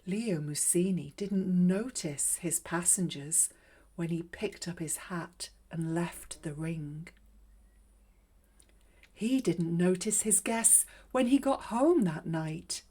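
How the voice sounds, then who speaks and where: A woman reading a children's story aloud in a measured, expressive way. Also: lowish voice. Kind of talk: scripted storybook narration.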